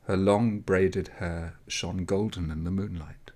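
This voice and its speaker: Male storyteller, very measured, slow, low-pitched, dramatic pauses, scripted narration. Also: fairly even intonation, a little mellow. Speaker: audiobook reader.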